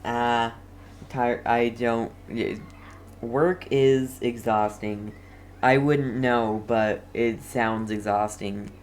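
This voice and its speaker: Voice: mid-range voice, steady tone, very slow, slight background noise. A game streamer giving casual, chatty livestream commentary with light teasing.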